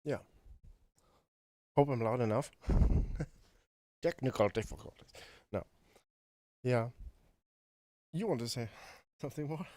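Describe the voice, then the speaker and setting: A male guest speaks casually and haltingly, repeating words as he finds his way. His pitch is middling and the recording is dry and close. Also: warm tone, dry recording. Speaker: guest composer. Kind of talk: casual chat.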